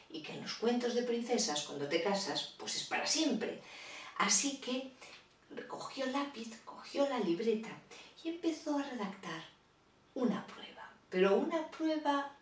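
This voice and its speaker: A woman's voice: medium pitch, roomy sound, far from the mic. Playful woman telling a fairy tale. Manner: playful, chatty asides to listeners. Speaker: storyteller. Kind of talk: children's storytelling.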